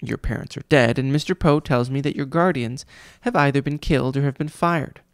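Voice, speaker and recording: A man's voice: medium pitch, brisk, clean tone, no room sound, clean studio sound, lightly acted. A man reading a storybook, voicing a pompous, cruel male vice principal who mimics people mockingly. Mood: smug.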